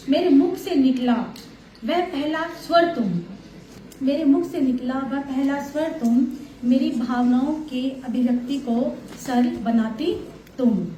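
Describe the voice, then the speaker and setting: A woman's voice: sits high, mellow, a little hiss or hum. A woman reciting a short poem on stage before inviting poets, lyrical and repeating lines. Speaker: stage host. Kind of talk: poetry recitation and announcing. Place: large hall. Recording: echoey hall.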